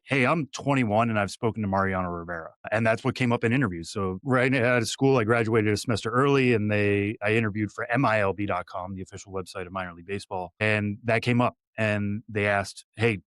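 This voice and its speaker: A man's voice: on the low side, fairly bright tone, dry recording. A man in his thirties from Western Massachusetts, a guest analyst giving long, detailed conversational answers with light fillers. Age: 30s.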